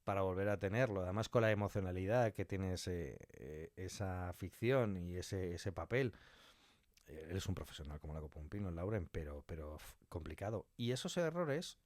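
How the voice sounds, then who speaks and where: A man's voice: deep voice, expressive, moderate pace, darkish tone, dry recording, studio quality. A man talking as a podcast guest about his creative work, emphatic and fond of acting out little quotes. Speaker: podcast guest, audio fiction writer and director. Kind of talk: animated interview conversation.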